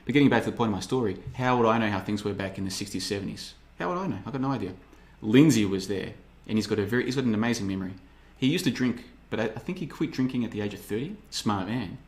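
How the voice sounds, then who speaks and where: A man's voice: fairly low pitch, quick pace, few pauses, a little mellow. Sarcastic man in his thirties. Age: 30s. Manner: rambling, sarcastic, slips into mocking voices. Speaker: podcast host. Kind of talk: casual podcast monologue reading live chat.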